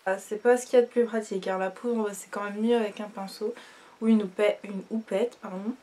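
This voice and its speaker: A young woman with a stuffy nose from a cold, chatting casually through a makeup tutorial with many fillers and trailing off quietly. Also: medium pitch, steady tone, a little fast. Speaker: beauty vlogger.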